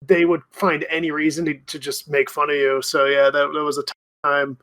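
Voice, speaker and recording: Male podcast co-host, 30s, fairly high-pitched voice, loose conversational delivery. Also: level tone, fairly quick, fairly bright tone. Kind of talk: casual chat.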